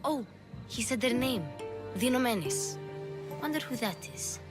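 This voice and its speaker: A woman's voice: a little high, lively intonation, measured pace, loud music behind the voice. A woman playing an eager, plucky little street girl who fancies herself a spy in a video game. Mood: coy, thoughtful. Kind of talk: dramatic video game acting.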